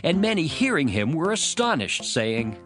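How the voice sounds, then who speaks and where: A man narrating a dramatized scripture production with measured, expressive storytelling. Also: a little high. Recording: music behind the voice. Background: prominent background music.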